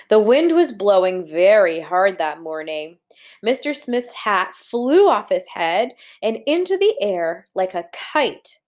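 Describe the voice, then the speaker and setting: Woman reading a story aloud to children. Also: medium pitch. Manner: instructive, addressing children directly, posing questions. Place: indoors at a computer.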